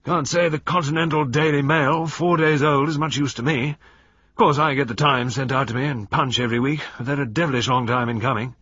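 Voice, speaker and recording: A man voicing a gruff, blustering older retired army general. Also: mid-range voice, steady tone, brisk, fairly bright tone, no room sound, voice pushed up. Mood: grumbling. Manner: grumbling, blustering. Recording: dull lo-fi recording.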